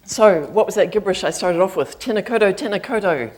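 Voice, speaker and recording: A woman's voice: medium pitch, darkish tone. A middle-aged woman with a New Zealand accent giving a chatty, self-deprecating lecture to an audience, with frequent ums. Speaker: guest speaker, flute professor. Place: campus auditorium.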